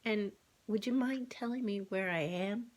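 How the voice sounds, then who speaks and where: A haughty little woodpecker gentleman from a children's storybook, read by a woman. He is polite but pedantic and self-important, speaking in prim, careful phrases. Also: mid-range voice, a little slow, natural voice.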